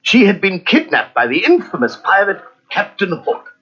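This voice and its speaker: A man narrating a scripted children's storybook in a dramatic, expressive way. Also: high-pitched, moderate pace, breathy edge. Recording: muffled cassette with music behind.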